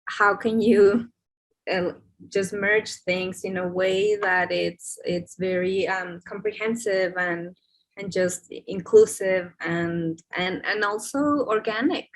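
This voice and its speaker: A woman's voice: mid-range voice, slow pace, low-quality recording. Hesitant woman facilitator on a call. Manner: hesitant, searching phrasing with many fillers. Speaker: session facilitator. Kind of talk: video call discussion. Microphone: video call.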